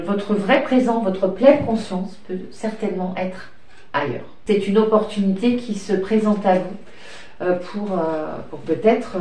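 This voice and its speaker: A woman's voice: mid-range voice, a little mellow. A woman reading tarot cards in a rambling, hesitant way with many fillers, stuffy from a cold and coughing now and then, talking fondly to her cat and child. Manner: affectionate, talks to her pet and child. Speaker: tarot card reader. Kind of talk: casual card-reading chat. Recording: echoey room, distant mic, light noise.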